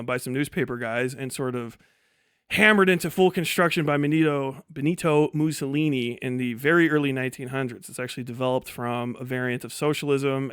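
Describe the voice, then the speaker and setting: A man's voice: mid-range voice, fairly bright tone, clear, resonant tone, no echo, clean studio sound. A man debating politics on a livestream in an assertive, combative and pedantic way.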